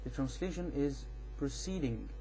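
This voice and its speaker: A man with an Egyptian accent, a native Arabic speaker, giving an informal explanatory rebuttal in a halting, repetitive, insistent way. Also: on the high side, animated, dark tone, faint music behind, poor audio. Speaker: amateur video responder on religion.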